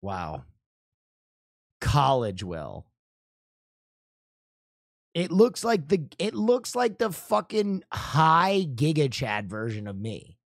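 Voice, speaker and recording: Animated joking man in a dry room. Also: a little high, no room sound. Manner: loose, profane, joking, quick asides. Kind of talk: casual chat reacting to old videos.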